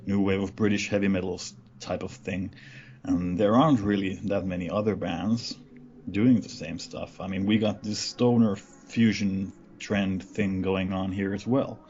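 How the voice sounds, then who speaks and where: A man from Finland with a Finnish accent, giving a casual interview about his band and often pausing with "uh.". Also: on the low side, highs cut off, a little hiss or hum. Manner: relaxed, halting with many uhs.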